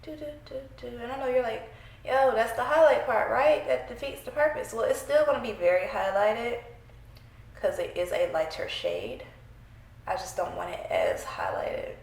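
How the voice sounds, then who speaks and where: Congested woman with a gravelly voice. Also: on the high side, lively intonation, normal speed, darkish tone, echoey room, low-quality recording. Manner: chatty, playful, talks to viewers while working. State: congested from a cold. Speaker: beauty vlogger. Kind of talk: casual makeup tutorial chat. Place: small room at home, indoors.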